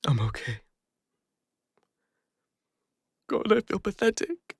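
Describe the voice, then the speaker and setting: A man with an English accent playing a gentle, bashful lone ranger and former healer in an intimate audio roleplay, speaking hesitantly. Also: high-pitched, huge pitch swings, vocal fry, breathy edge, no room sound, clean studio sound, full character voice. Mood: flustered, tearful. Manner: hesitant, soft-hearted, halting. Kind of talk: intimate scripted audio roleplay addressed to the listener.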